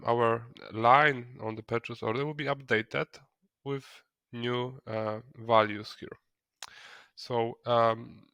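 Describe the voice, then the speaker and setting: Guy doing a software tutorial, kinda hesitant, repeats words, medium pitch, dry sound. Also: no room sound. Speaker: software trainer. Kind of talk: screen-recorded software tutorial, explaining step by step.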